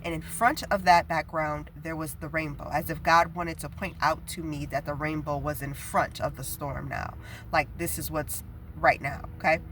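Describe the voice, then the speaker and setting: A young woman speaks in a bright, nasal voice, stuffed up from a head cold. She delivers a prophetic message in a casual, earnest way, rambling with fillers as she goes. Faint background noise sits under her voice. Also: low-pitched, steady tone, moderate pace, low-quality recording. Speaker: prophetic video preacher.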